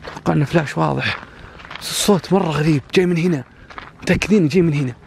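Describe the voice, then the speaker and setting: A young man filming a night vlog outdoors on a phone, speaking in hushed, tense tones and murmuring prayers between orders. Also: fairly high pitch, rapid-fire, gravelly, slight background noise. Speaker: vlogger. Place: outdoors at night, abandoned cemetery.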